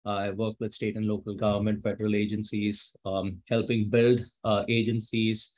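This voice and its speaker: Guy with a lowish flat voice, lots of uhs, kinda muffled. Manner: halting, many fillers and restarts. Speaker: learning facilitator. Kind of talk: self-introduction.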